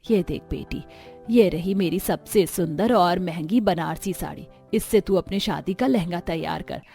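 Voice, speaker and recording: A woman voicing a caring, protective middle-aged mother in a cartoon family story, with a soft manner. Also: on the low side, moderate pace, creaky, faint music behind. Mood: affectionate.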